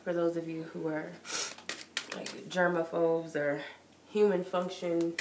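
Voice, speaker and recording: A woman's voice: on the low side, little pitch movement, a little slow. A woman with a cold and a stuffy nose, giving a casual live-streamed tarot reading in a chatty, loose way full of likes and ums. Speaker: tarot reader. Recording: echoey room with noise.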